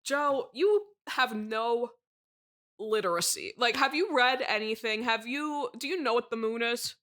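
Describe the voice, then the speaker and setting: A woman playing a haughty, eccentric horror writer in a comedy interview, deadpan, condescending and mocking. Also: fairly high pitch, a little slow. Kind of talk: improvised mock interview comedy.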